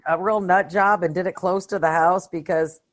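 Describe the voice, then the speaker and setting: A woman's voice: on the low side, steady tone, moderate pace. A woman co-hosting a casual film discussion, talking in a blunt, slangy way. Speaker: podcast co-host.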